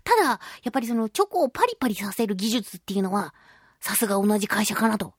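A woman hosting a chatty radio show on her own, talking in a playful, expressive, giggly way as she chats and reads listener mail. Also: medium pitch, fast talker, breathy edge, no echo, studio quality. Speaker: radio show host, voice actress.

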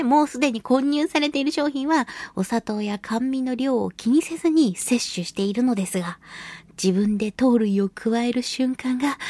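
A woman hosting a casual solo radio talk and reading listener mail, chatty, giggly and expressive, with a slightly breathy voice. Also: high-pitched, dry recording. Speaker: radio show host and voice actress.

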